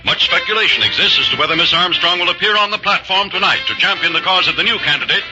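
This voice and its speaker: A brisk vintage radio newscaster. His delivery is fast, formal and high-set for a man, over loud music on a lo-fi recording. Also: lively intonation. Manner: formal, clipped reporting. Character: brisk male radio news announcer. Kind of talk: 1940s radio newscast acting.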